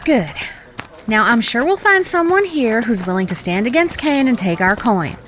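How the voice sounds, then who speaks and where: A woman playing a genteel, firm-willed young frontier lady in a Western audio drama, polite, folksy and gently bossy. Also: fairly high pitch, lively intonation, moderate pace, slight background noise. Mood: reasoning.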